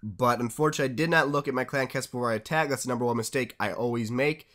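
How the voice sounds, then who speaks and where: A young man with a stuffed-up, congested voice talks through his strategy game replays at a middle pitch. He keeps it casual and chatty, dropping tips and upbeat asides as a channel host. It sounds like a home desk-mic voice-over. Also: rapid-fire, a bit bright.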